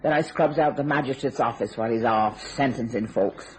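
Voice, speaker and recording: A woman's voice: very deep voice, brisk, a little hiss or hum, poor audio. A woman playing a chatty, superstitious, kindly English charwoman in a radio thriller, folksy and ungrammatical, with colourful turns of phrase. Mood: earnest, confiding.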